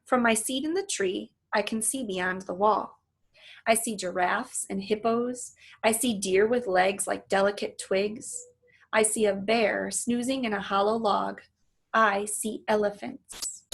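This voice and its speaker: A woman reading a children's book aloud in an animated, storytelling manner. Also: medium pitch, unhurried, creaky. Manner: animated, acts out the dialogue. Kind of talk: expressive read-aloud narration with asides to listeners.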